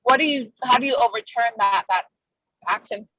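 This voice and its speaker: A woman's voice: a little high, normal speed, bright, forceful tone, low-quality recording. A woman with a Spanish accent, native Spanish speaker, asking questions hesitantly with many restarts.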